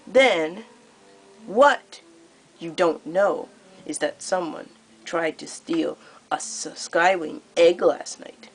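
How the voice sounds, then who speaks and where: A woman's voice: on the low side, slow, breathy edge, faint music behind, exaggerated voice, rough recording. A young woman voicing a cruel, theatrical dragon queen in a storybook, gloating and stretching out her words. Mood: gleeful, mocking.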